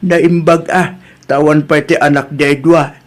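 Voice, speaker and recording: A man's voice: a little high, level tone, a little slow, close to an everyday voice. A man acting an inquisitive uncle in an Ilocano audio drama. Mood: curious.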